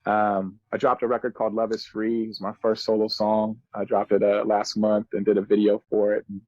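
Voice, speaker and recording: A man's voice: on the low side, level tone, brisk, darkish tone, dry recording, highs cut off. A man in his thirties telling stories casually as a musician guest on a podcast, in laid-back, slangy, earnest and reflective speech. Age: 30s.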